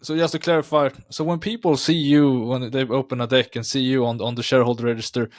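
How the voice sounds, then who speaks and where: A man hosts the interview with a mid-pitched, ordinary voice. He keeps his questions short and direct. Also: steady tone, fast talker. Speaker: host.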